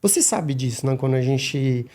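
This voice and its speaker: A middle-aged man, a guest on a podcast, talking casually and haltingly with many restarts and repeated words. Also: mid-range voice, lively intonation, mellow. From Madagascar. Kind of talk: casual interview talk.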